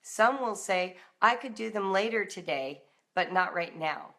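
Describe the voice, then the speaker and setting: A woman's voice: medium pitch, animated, a little slow, a bit bright. A middle-aged American woman coaching parents in an informal live talk, speaking directly and emphatically as if in conversation with her listeners. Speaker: parenting and homeschool coach, live broadcast host.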